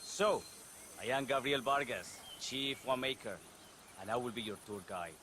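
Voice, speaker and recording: An actor playing a fussy, effusive chief winemaker giving a tour, with flowery, courteous and showy speech in a video game cutscene. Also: male voice, medium pitch, moderate pace, noisy background, lightly acted. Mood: effusive, welcoming. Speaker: tour guide.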